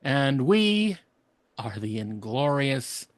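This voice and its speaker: A man with an average-pitched voice co-hosts a casual podcast. He banters with dry humor, and the sound is close, with very little room on it. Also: very animated, slow, no echo. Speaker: podcast co-host.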